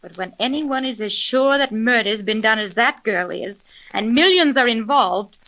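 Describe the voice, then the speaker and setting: An actress playing a brisk, commanding young female private detective in a crisp, authoritative 1930s radio drama style. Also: on the high side, lively intonation, a bit bright, rough recording. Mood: businesslike, firm. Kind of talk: 1930s old-time radio drama acting.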